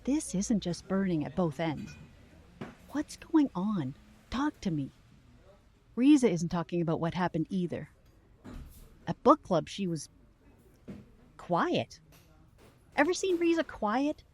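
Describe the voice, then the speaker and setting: A sharp, caring woman played by a voice actress talks slowly and deliberately, with very expressive, varied pitch. She delivers her audio drama lines in a pointed way. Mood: concerned. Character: sharp, playful, concerned woman on a date.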